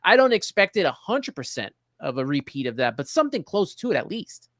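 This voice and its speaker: Male host, fairly high voice, close dry sound, organized presenter delivery for sports talk. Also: sing-song, brisk, fairly bright tone, no room sound. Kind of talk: sports talk hosting, reading chat and stats.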